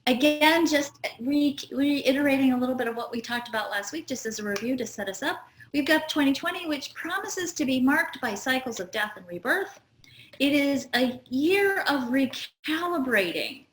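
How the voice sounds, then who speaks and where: A woman's voice: sits high, animated, moderate pace, a bit bright, reverberant, slight background noise. A middle-aged woman hosting a spiritual astrology talk show, explaining in an earnest teaching tone. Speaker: show host.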